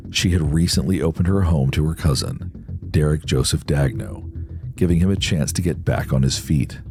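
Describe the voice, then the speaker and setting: A man's voice: a bass voice, creaky. A man reading scripted true-crime narration in a grave, dramatic storytelling manner. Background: background music.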